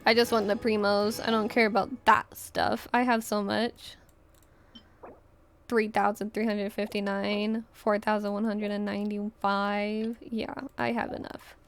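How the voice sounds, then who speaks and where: A woman's voice: mid-range voice, little pitch movement, measured pace. A woman live streamer chatting casually over her gameplay, thinking aloud in slangy, offhand remarks.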